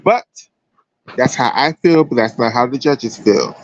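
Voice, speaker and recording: A man's voice: medium pitch, animated, unhurried, dry recording, lo-fi sound. An American man giving casual, chatty sports commentary as a livestream host, emphatic and slangy.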